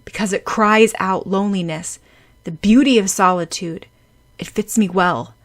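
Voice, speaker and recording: A woman voicing a cold, sharp-tongued teenage schoolboy who talks down to others. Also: mid-range voice, normal speed, natural voice. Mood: aloof.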